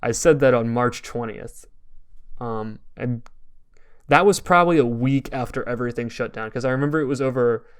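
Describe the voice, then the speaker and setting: A man's voice: mid-range voice, moderate pace, smooth. A young adult man with an American accent co-hosting a podcast, talking casually in a rambling, storytelling way full of "like". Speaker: podcast co-host. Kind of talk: casual conversational podcast talk.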